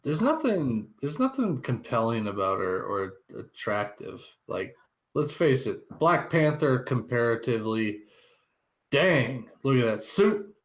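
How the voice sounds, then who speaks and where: A man with a mid-pitched voice speaks at a slow pace as co-host of a casual podcast. He muses out loud in informal, halting phrases. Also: sing-song. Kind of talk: casual pop-culture podcast chat.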